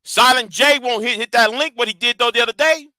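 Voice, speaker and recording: High, sharp-voiced man ranting combatively. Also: fairly quick, no echo, studio quality. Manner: combative, profane, taunting; repeats and restarts words. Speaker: channel host. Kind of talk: confrontational livestream rant.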